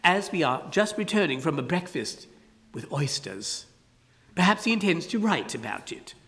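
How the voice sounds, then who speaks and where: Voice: mid-range voice, sing-song, moderate pace, fairly bright tone, voice pushed up. A narrator voicing a genial, pompous male walrus with grand, theatrical courtesy, with a long hall reverb on the recording.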